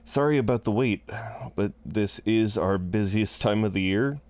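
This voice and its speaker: Man playing a deep-voiced flirty incubus innkeeper. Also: normal speed, darkish tone, no echo, lightly acted. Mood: welcoming, chatty. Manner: rambling, hesitant asides, chuckling, flirtatious. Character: flirtatious, chatty male incubus innkeeper, welcoming host. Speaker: innkeeper at a front desk. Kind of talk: roleplay audio drama, conversational acting.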